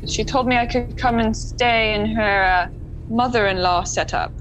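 A woman's voice: on the high side, moderate pace, fairly bright tone, slight background noise, lightly acted, low-quality recording. A woman voicing a flamboyant French Canadian woman artist with a put-on French Canadian accent, dramatic and full of fillers, with music behind. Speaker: tabletop player.

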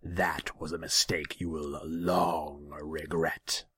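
A man voicing a scornful, imperious Rakshasa demon in a fantasy story, drawing words out slowly and contemptuously. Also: very deep voice, little pitch movement, a bit bright, breathy edge, no echo, studio quality, full character voice. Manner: slow, drawn-out, contemptuous.

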